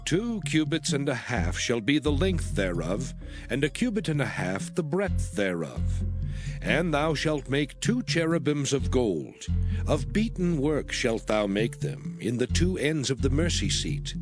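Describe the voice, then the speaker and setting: A man reading scripture aloud as dramatized audio Bible narration, formal and solemn, with measured phrasing. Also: medium pitch, very animated. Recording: prominent music under the voice. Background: music.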